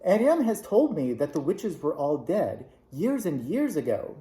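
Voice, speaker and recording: A man voicing an earnest, homesick young girl in a storybook reading, asking questions in a pleading way, higher than his own voice. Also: sing-song, a little slow, warm tone. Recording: echoey room.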